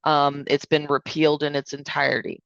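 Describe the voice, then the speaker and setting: A woman, hoarse from allergies, explaining a proposal step by step over a video call. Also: low voice, little pitch movement, brisk, a bit bright, no echo, highs cut off. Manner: explanatory, step-by-step, uses fillers. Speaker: code publishing vendor presenting remotely. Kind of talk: remote business presentation.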